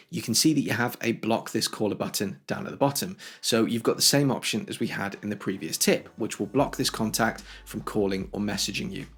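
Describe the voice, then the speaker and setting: Man, husky-edged tutorial voice. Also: lowish voice, a bit bright. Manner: clear step-by-step explaining, conversational. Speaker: tech tutorial host. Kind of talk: instructional how-to narration for video.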